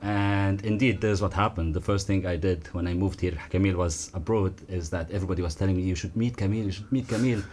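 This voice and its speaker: A man, an oud player telling his story in a studio interview, earnest and halting. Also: low-pitched, a little mellow, a little hiss or hum. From Lebanon. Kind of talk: casual interview answers. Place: radio studio.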